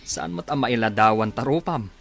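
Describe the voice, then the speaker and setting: Guy playing nosy disbelieving pal in ilocano radio drama, very animated, faint music under it. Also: on the low side, moderate pace, lightly acted. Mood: incredulous. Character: inquisitive, incredulous male buddy.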